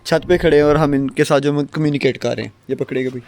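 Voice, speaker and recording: A man demonstrates a product in a casual way, talking quickly. His voice sits a little high, and loud wind and ambient noise surround him outdoors. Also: steady tone. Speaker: product reviewer and presenter. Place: outdoors, open field and rooftop.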